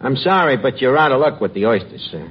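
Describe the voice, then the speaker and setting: A man's voice: medium pitch, sing-song, quick pace, close to an everyday voice. An actor playing a wisecracking tavern manager who mangles his words, in 1940s radio comedy style. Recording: old muffled radio recording, loud noise, audience.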